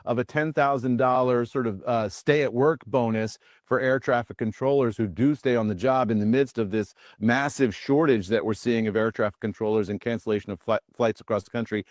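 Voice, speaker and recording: A man's voice: mid-range voice, a little fast, hardly any pauses, no room sound, clean studio sound. A man with an American accent giving a live television news report as a correspondent.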